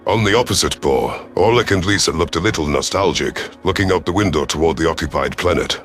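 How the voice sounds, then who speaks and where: A deep-voiced, gruff reptilian war commander acted by a man narrates a sci-fi audio story. He is proud, stern and sardonic, with faint music beneath the voice. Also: fast talker, natural voice. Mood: stern, measured. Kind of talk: first-person scripted audio story narration.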